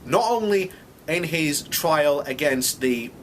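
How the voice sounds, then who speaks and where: A man's voice: a little high, expressive, takes it slowly, a bit bright, a little hiss or hum. A man giving unscripted, opinionated commentary as a video blogger, speaking emphatically and indignantly and repeating himself to drive points home.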